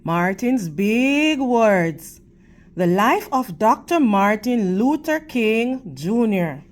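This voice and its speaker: Woman, storytime host, lively swooping intonation, very unhurried, teacherly, addressing young children. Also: on the high side. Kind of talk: children's picture book read-aloud with questions to listeners.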